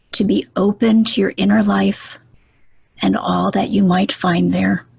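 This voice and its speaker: A woman leading a guided meditation in a soft, breathy voice, gentle and soothing, with deliberate pauses. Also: mid-range voice, dry recording.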